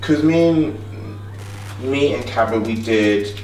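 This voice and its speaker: A man's voice: mid-range voice, slow pace, darkish tone. A young man, a guest, chatting casually in a home kitchen, laid-back and rambling with many fillers. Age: young adult. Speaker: guest, music producer. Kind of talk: casual chat. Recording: echoey kitchen, mic at a distance.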